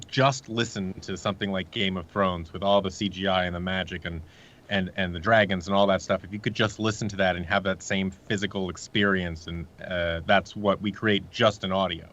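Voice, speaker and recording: Low-pitched man chatting, dull lo-fi sound. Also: fairly even intonation, moderate pace, fairly bright tone. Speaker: guest, audio drama creator. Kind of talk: casual conversational pitch.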